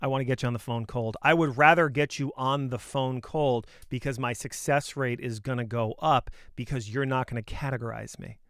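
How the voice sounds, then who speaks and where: A man's voice: medium pitch, steady tone, normal speed, hardly any pauses, fairly bright tone, clear, resonant tone, no echo, clean studio sound. A man coaching on sales in an informal, opinionated talk, speaking emphatically and conversationally with punchy rhetorical questions.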